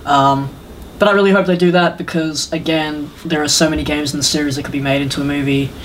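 A man's voice: a little high, steady tone, fast, a bit bright, slight background noise, rough recording. A man chatting casually as a podcast co-host, rambling with enthusiasm and some hesitation. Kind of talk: casual chat about games and films.

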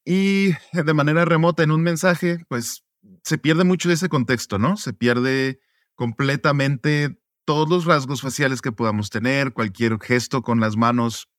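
A man from Mexico, a podcast guest, answering reflectively in a relaxed interview and often ending points with tag questions. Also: mid-range voice, measured pace, no echo, clean studio sound. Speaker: podcast guest, student advocate.

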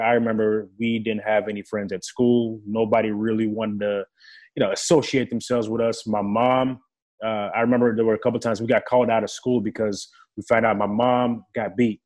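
Low-voiced man telling his story. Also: a little mellow. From Democratic Republic of Congo. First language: French. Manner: earnest, vivid storyteller. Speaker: guest, player engagement staff for a football team. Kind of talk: casual interview storytelling.

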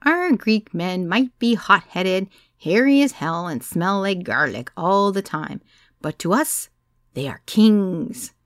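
A woman's voice: medium pitch, expressive, slow pace, no echo, clean studio sound. A woman voicing a brash teasing Greek matchmaker. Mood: playful. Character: chatty older Greek woman, teasing, knitting.

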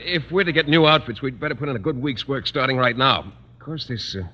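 A man's voice: mid-range voice, brisk, lightly acted. An American actor playing a bashful, earnest male biology teacher in 1950s radio comedy, hesitant and easily flustered. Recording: muffled, noisy old radio recording.

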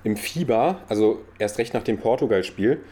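A man's voice: lowish voice, lively intonation, normal speed, dark tone. A man with a slight cold co-hosting a podcast, chatting loosely about football with frequent restarts. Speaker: podcast co-host. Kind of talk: casual football chat. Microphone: own podcast microphone.

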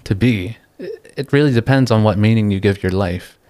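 A young man from the Philippines, a guest talking in a relaxed, reflective way, pausing often and laughing easily. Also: lowish voice, no room sound. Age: young adult. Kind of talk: casual interview chat.

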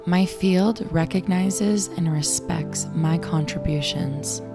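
A young woman with a raspy voice, hosting a show and reading affirmations slowly and soothingly. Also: fairly low pitch. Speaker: affirmation and meditation host. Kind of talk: guided affirmations. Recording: clear music bed behind voice.

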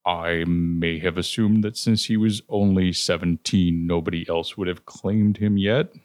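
A man voicing a huge ancient male tentacled god who speaks slowly and grandly. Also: deep voice, steady tone, a little mellow, dry recording. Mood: ponderous. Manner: slow, ponderous. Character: vast ancient male tentacled god, booming yet sheepish.

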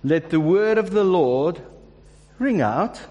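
High-voiced man preaching in a reverberant hall. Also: animated, slow pace, dark tone, highs cut off. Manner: casual, joking, with restarts. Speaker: pastor. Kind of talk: sermon. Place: church hall.

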